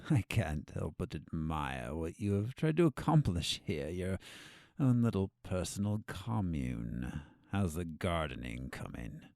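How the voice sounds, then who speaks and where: An actor in an audio drama playing a scheming, sardonic captive doctor with a condescending, drawn-out delivery. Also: male voice, low-pitched, big pitch swings, vocal fry, darkish tone, no room sound, studio quality, full character voice. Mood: mocking.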